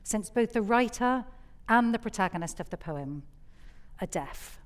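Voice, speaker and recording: A woman's voice: medium pitch, lively intonation, a little slow. Female host for a literary stage evening: middle register, composed, articulate, scripted introductions. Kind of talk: scripted introduction to a live audience. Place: theatre stage.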